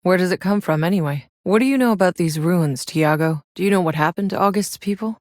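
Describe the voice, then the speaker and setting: Casting: actress for tough, wry woman soldier in a sci-fi game, deep register, gruff swagger, close dry studio sound. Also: brisk, a little mellow, no echo, close to an everyday voice. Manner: gruff, sardonic, casual swagger. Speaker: video game voice actor. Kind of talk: video game voice lines.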